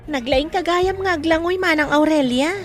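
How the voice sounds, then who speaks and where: A woman's voice: high-pitched, normal speed, soft background music, natural voice. A woman with an Ilocano Filipino accent playing a cheerful, supportive young friend in an audio drama. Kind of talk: amateur audio drama acting.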